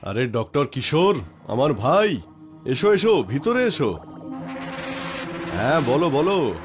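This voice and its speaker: Male actor as hearty, teasing friend and host; animated, highish voice. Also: takes it slowly, faint music behind. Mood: jovial, teasing. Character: jovial, teasing bank manager hosting his doctor friend. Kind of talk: dubbed drama dialogue.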